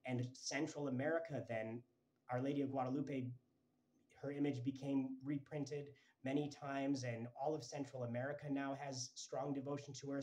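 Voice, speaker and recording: A man's voice: medium pitch, warm tone, poor audio. A man in his thirties from Minnesota, talking earnestly into a handheld phone in a small indoor room, piling clause on clause with restarts as he makes a devotional case. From Twin Cities, Minnesota. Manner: fervent, rambling, piles up clauses with restarts. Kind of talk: earnest religious monologue to camera. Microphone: phone held close, selfie framing.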